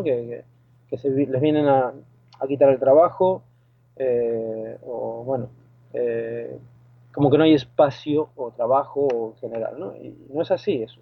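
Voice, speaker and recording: A man's voice: mid-range voice, breathy edge, dry recording. A man from Argentina with an Argentine accent, a guest talking hesitantly with many fillers and restarts over the phone. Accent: Argentine Spanish. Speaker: guest, lifeguard and boat skipper. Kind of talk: phone interview. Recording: tinny phone line.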